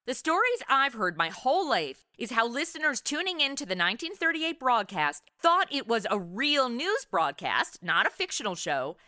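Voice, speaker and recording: A woman hosting a podcast in a lively, scripted but conversational style. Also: fairly high pitch, moderate pace, few pauses, no echo, studio quality. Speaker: podcast host.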